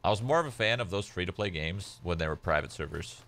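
A man's voice: low voice, animated, fast talker, fairly bright tone. A young man whose first language is Polish, chatting casually as a game streamer at home, laid-back and rambling with lots of "like" fillers. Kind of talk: casual chat while gaming.

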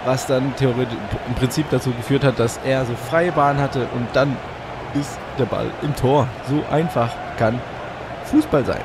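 A man with a slight cold hosting let's play commentary on a football video game from a hot room at home, getting excitable and shouting at goals. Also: mid-range voice, normal speed. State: a bit congested with a cold. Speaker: gaming channel host. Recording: audible fan noise.

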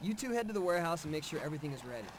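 A man's voice: fairly high pitch, big pitch swings, racing, background noise. A man with an American accent playing an upbeat, casual, take-charge pro skateboarder in a video game. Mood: rallying. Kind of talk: video game cutscene acting.

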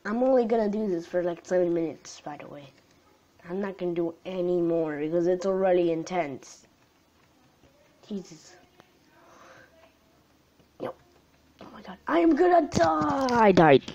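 A young woman with a tired voice commenting on a game she is playing late at night at home, gasping and jumping between alarm and hushed whispers. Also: fairly low pitch, lively intonation, unhurried, long pauses, muffled recording. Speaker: gaming channel host. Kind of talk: live gameplay commentary.

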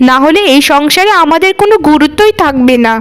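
A very high-pitched, jealous young village woman, acted by a female performer in a cartoon folktale. She is sharp and sulky, forever accusing others with spite. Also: expressive, quick pace. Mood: scheming. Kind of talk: cartoon voice acting in a family folktale.

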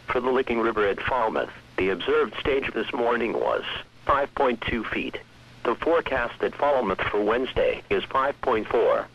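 A synthetic American male voice reading a scripted weather bulletin in a measured, impersonal manner. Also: mid-range voice. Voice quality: synthetic text-to-speech voice. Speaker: weather radio announcer. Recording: dull lo-fi weather radio broadcast.

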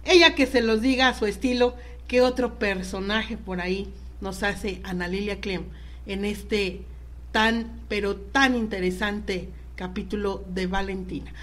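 A woman's voice: medium pitch, unhurried. A Mexican woman hosting a live talk show, chatty and informal as she presents and interviews guests. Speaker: radio show host.

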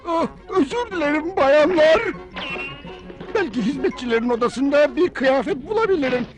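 Fussy butler, very high voice, animated, formal, flustered, dubbed cartoon. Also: male voice, moderate pace, music in the background. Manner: prim, formal, exclaiming.

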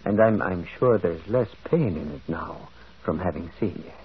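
A man's voice: sits low, animated, moderate pace, husky, heavily acted. An actor playing a mild, inquisitive priest sleuth in an old radio drama, hesitant and repeating his words. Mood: anxious, courteous. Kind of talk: 1940s radio acting. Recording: muffled, phone-like old radio recording.

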